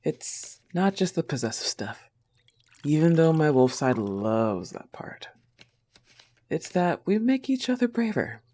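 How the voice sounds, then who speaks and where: Man voicing a slow, intimate wolf girlfriend. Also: a little high, sing-song, warm tone. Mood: tender, reflective. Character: possessive, tender young goth wolf girlfriend, half-feral. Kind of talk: intimate audio roleplay, ASMR-like.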